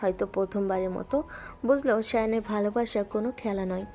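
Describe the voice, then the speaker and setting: A woman narrating a romantic audio drama in a calm, steady storytelling voice. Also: mid-range voice, a little fast, faint music behind.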